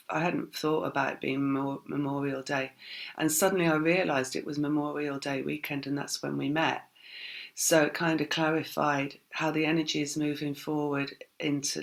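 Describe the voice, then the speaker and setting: A woman reads tarot in a relaxed, meandering way and keeps circling back over her thoughts. Her voice is croaky and gravelly, with a lot of fry, and her pitch stays flat. She pauses often on soft fillers. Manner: rambling, reflective, many fillers. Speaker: tarot reader. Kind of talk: casual spiritual reading.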